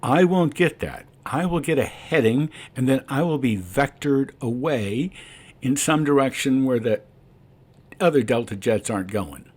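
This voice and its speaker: A man's voice: medium pitch, animated, a little slow, a little mellow, husky. A man who is a private pilot, explaining his flight and making radio calls. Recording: cockpit and radio noise.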